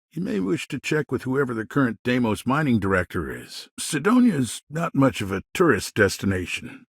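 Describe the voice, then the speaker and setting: A man playing a smooth-talking, self-important middle-aged colonial governor in a video game, with polished politician's phrasing and a husky voice. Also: fairly low pitch, fairly bright tone, dry recording, clean studio sound, full character voice. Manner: polished politician's talk, veiled threats. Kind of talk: game dialogue voice lines.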